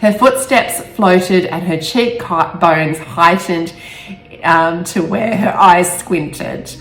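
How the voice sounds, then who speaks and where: A woman author speaking in a halting, emotional way, stumbling over words now and then. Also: lowish voice. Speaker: author reading own novel aloud. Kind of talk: amateur storybook reading with personal asides. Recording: roomy echo with faint music.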